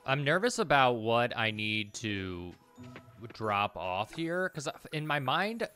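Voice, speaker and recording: A man's voice: mid-range voice, big pitch swings, takes it slowly, bright, forceful tone, faint music behind. A man in his thirties doing casual gameplay commentary, hesitantly thinking aloud and repeating himself as he mutters. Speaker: game streamer. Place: indoor room, streaming at a computer.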